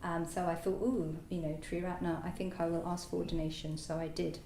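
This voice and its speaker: Woman, native French speaker, halting reflective talk, distant mic in an echoey room with faint noise. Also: on the low side, flat delivery, dark tone. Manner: hesitant, halting, many fillers and restarts. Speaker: new Buddhist order member. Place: meditation centre hall.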